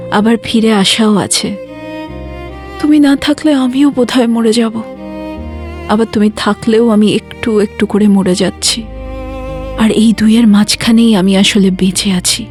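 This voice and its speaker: A woman's voice: fairly high pitch, a little mellow, natural voice. A woman playing a weary, devoted daughter who cares for her bedridden father, confiding and emotional in an intimate audio drama monologue.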